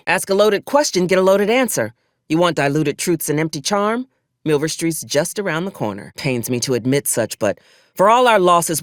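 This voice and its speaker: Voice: medium pitch, no echo. Sardonic, swooping hard-bitten military officer. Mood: brisk, resolute. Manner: dry, folksy frontier formality with clipped threats. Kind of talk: video game character dialogue.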